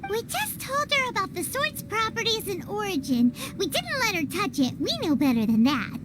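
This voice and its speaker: A woman voicing a small, childlike fairy companion in a video game, chirpy, excitable and outspoken, with a squeaky voice. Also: normal speed. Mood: chatty. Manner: exclamatory, excitable. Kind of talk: animated game dubbing. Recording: clear loud noise behind the voice.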